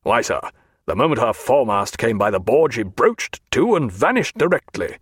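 A man voicing a proud naval captain who speaks sternly and forcefully. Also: mid-range voice, big pitch swings, moderate pace, slightly rough, no echo, studio quality. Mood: stern indignant.

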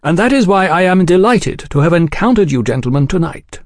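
An urbane gentleman journalist, acted out by a male reader, pitched well up and swooping with politeness. He is mild and smoothly cordial in an audiobook story, close and dry. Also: big pitch swings, brisk, no room sound, exaggerated voice. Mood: effusive, polite.

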